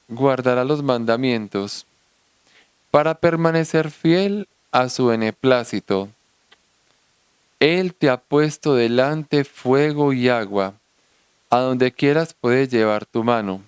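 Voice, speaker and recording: A priest delivers a sermon in a mid-pitched, smooth and resonant voice. He quotes scripture and presses his points with rising emphasis. The sound is dry and close. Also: dry recording.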